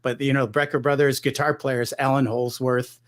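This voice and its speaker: A man's voice: medium pitch, level tone, normal speed. Rambling middle-aged man from Michigan. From Michigan, United States. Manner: loose and rambling, many restarts and "you know". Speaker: guest guitarist. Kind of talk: relaxed conversational interview.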